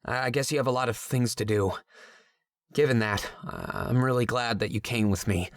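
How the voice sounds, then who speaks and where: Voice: mid-range voice, normal speed, natural voice. An actor playing an insecure, abandoned young game companion who longs to belong, speaking hesitantly and earnestly. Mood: hesitant, subdued. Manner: hesitant, emotionally open, earnest. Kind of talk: visual novel character voice acting.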